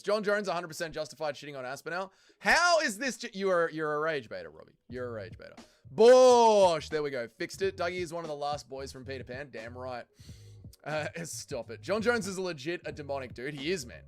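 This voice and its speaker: Lively, heated young man. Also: a little high, a little fast. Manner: heated, blunt, calls chatters trolls. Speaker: livestream host reading chat. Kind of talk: casual livestream chat.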